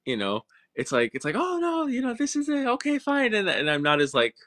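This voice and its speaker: Animated man host on a video call. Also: fairly quick. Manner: lively, acts out his own reactions in a high voice. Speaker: interviewer host. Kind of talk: casual video call interview. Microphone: video call webcam.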